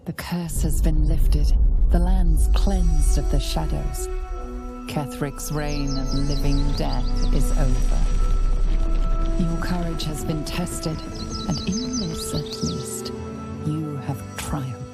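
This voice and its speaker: A woman's voice: deep voice, slow pace, loud music behind the voice. A woman playing a solemn, regal figure in a video game, making a formal proclamation. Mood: solemn praise.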